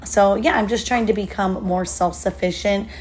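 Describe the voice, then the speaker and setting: A woman in her thirties with a hoarse voice, chatting casually and upbeat through a vlog voiceover. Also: medium pitch, steady tone, moderate pace, a little mellow. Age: 30s. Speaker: lifestyle vlogger.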